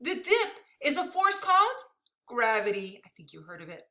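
A woman reads a children's book aloud in a high, very lively voice. Her delivery is expressive and bright, and she breaks off for chatty asides to her young listeners. The sound has the echo of a room at home. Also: moderate pace. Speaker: elementary school teacher reading a picture book aloud. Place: living room at home, indoors.

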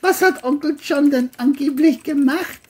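A woman's voice: high-pitched, moderate pace, a little mellow, pitched above the performer's own voice, close to an everyday voice. A woman voicing an abusive, sneering man, a mean bully with a menacing, mocking manner, in an audio crime drama. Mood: falsely friendly.

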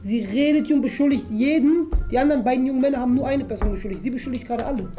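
A young woman with a high voice role-plays an inspector. She talks quickly and questions in a stern way, with light music underneath. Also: dark tone. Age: young adult. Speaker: host playing a police inspector in a game. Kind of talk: improvised role-play interrogation. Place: small furnished room indoors.

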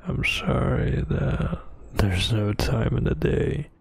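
A brooding male vampire boyfriend acted by a man in an ASMR roleplay. His voice is heavily fried and very husky, close to a whisper. He speaks slowly and haltingly, with irritated menace. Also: mid-range voice, no echo, full character voice. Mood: exasperated. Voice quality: breathy, husky near-whisper. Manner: halting, tense, scolding.

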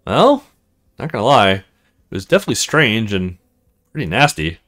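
A man's voice: on the low side, very animated, normal speed, fairly bright tone, pitched below the performer's own voice. A man reading the part of an easygoing, eager young male student in a visual novel, casual and earnest. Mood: reassuring. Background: music.

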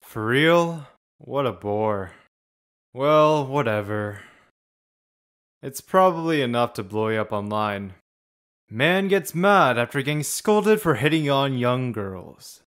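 A man's voice: mid-range voice, sing-song, takes it slowly, a bit bright. A young man acting a cool, blunt, nonchalant teenage boy in a manga fandub, with a laid-back drawl. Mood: bored, mocking.

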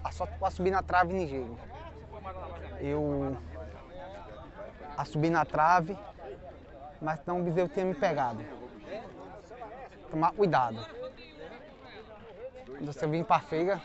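A man's voice: on the high side, measured pace, pauses often, crowd noise. A man with a Northeastern Brazilian accent hosting a casual, folksy vlog outdoors, often tagging his phrases with small questions. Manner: folksy, repeats prices back, tag questions. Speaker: vlog host. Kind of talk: casual livestock market tour, asking prices. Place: outdoor cattle market pens. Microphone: handheld phone, behind the camera. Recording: dull outdoor phone audio.

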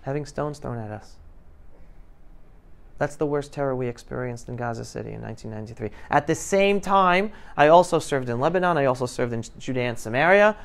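Male guest speaker, around 50, smooth clear voice, informal classroom talk, emphatic and interactive. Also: mid-range voice, brisk. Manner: emphatic, questioning the audience. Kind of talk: informal talk to high school students. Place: classroom, indoors. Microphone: lapel mic.

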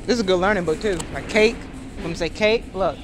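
A woman's voice: fairly low pitch, fast talker. A woman vlogging casually while shopping, talking with lots of ums and hesitations. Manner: chatty, hesitant with many ums. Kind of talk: casual family vlog chat. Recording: noisy phone recording.

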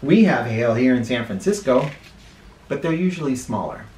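A middle-aged American man reading aloud to children in an expressive, dramatic way, recorded in a living room. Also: mid-range voice. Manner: expressive, dramatic, deliberate emphasis. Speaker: children's picture book reader and host. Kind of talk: read-aloud for children with spoken asides. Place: small living room, indoors. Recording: roomy, echoey living room sound.

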